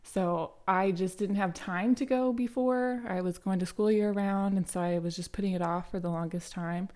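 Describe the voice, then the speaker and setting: A young adult woman hosting a casual solo podcast, her throat a little raspy today, telling a story in a chatty way with plenty of fillers and restarts. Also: medium pitch, steady tone, moderate pace, darkish tone. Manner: chatty, many fillers and restarts, light laughs. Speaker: podcast host.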